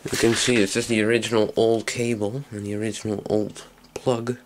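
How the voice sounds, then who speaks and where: A man giving a casual, unscripted hands-on demonstration in a low, creaky voice, recorded on a handheld camera microphone. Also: little pitch movement, brisk, slight background noise. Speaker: hobbyist video maker. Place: small indoor workroom.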